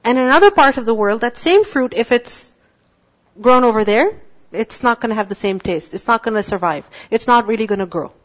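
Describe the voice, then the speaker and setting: A woman's voice: on the high side, animated. Woman teaching Quranic verses. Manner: asks the class questions and answers them herself; drawn-out Arabic recitation. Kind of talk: Quran tafsir lecture. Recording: dull lo-fi recording.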